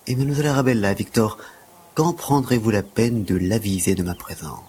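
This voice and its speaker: Low-voiced, prim, aloof gymnastics teacher. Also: male voice, brisk, warm tone, slightly rough. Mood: indignant. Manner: formal, dry, precise. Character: prim, aloof, penniless male gymnastics teacher, sickly but dignified. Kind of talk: French audio drama acting.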